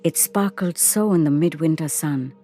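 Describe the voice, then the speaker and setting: A woman narrating a first-person short story in an expressive, measured, dramatic way. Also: deep voice, faint music behind. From India. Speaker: narrator and actor.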